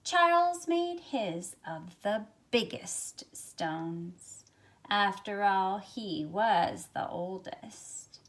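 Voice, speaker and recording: Woman, middle-aged, picture book read-aloud, animated intonation, slow measured phrasing, phone recording at home. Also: medium pitch. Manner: expressive, measured storytelling with drawn-out phrases and softened asides. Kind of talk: reading a picture book aloud with asides to young listeners. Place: small carpeted room at home, indoors. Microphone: phone at arm's length.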